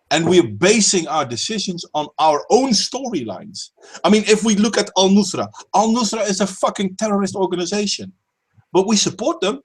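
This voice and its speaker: A man's voice: sits high, slightly rough. A man hosting a casual stream chat, talking politics emphatically, swearing and repeating words as he starts sentences. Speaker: stream host.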